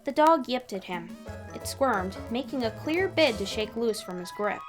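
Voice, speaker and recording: A woman reading fan fiction aloud as an expressive third-person narrator with dramatic pauses. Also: on the high side. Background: background music.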